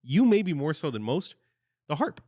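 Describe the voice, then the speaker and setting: A man's voice: on the high side, big pitch swings, normal speed, warm tone, no room sound, clean studio sound. A young man chatting casually and earnestly as a podcast co-host, recalling his own wedding. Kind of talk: casual chat.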